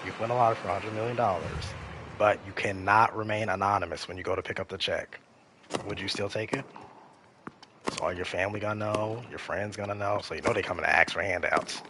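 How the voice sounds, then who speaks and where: Deep-voiced man rambling casually. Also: fairly even intonation, fast talker, noisy background. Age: 30s. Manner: rambling, repeats himself. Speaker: gaming streamer. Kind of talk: casual chat while playing a game.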